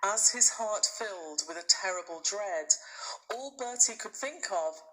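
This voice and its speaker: Bright-voiced woman narrating a storybook. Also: medium pitch, animated, a little slow, rough recording. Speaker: teacher reading aloud to pupils. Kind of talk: expressive children's storybook narration.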